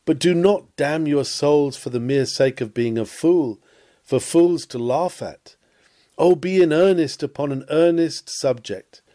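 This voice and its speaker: A man's voice: a little high, warm tone. A man reading an old Victorian sermon aloud in an earnest, solemn, exhorting manner. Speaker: sermon reader.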